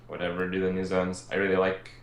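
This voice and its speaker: A man's voice: low-pitched, completely flat delivery, fast, vocal fry. A man from Canada, a laid-back, offhand guest in a casual gaming chat. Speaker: podcast guest, gamer. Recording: echoey room, faint background noise.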